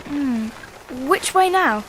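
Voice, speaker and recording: A woman's voice: high-pitched, lively intonation, slow pace, fairly bright tone, slight background noise, full character voice. A woman playing a sensible, cautious schoolgirl older sister with a British accent in a radio drama. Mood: practical.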